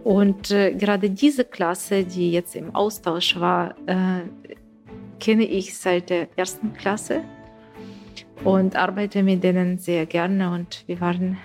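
A woman's voice: lowish voice, fairly even intonation, slow, soft background music. Hesitant woman, native Russian speaker. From Ukraine. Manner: hesitant, many fillers. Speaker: Russian teacher. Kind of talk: interview.

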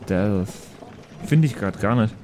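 A man playing a tabletop role-playing game, improvising casually in a halting way with repeated words. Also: fairly low pitch, expressive, dark tone. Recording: clearly audible background noise.

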